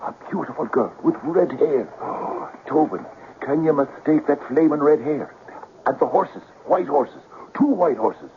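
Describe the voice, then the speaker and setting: Melodramatic fate-obsessed schemer, tinny radio. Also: male voice, fairly high pitch, lively intonation, a little slow. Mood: awed excitement. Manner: melodramatic, coaxing, portentous. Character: theatrical, insistent schemer friend obsessed with fate. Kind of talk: old-time radio drama acting. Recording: tinny narrow-band radio recording, faint noise.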